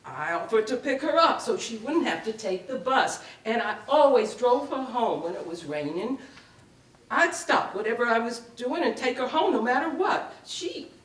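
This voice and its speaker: Woman acting indignant condescending lady of the house, animated slow delivery, haughty, scolding, staged reading, roomy distant recording. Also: fairly high pitch, lightly acted. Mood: defensive, hurt. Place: indoor live reading at a public library. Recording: echoey room, picked up from a distance.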